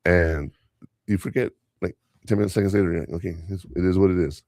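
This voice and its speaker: A deep-voiced man with a gravelly edge hosts a casual podcast. Spanish is his first language. He rambles through stories from his work, chuckling here and there. The sound is dry and close, with no room echo. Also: fast talker, darkish tone. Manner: rambling, anecdotal, chuckles often. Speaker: podcast host and school teacher.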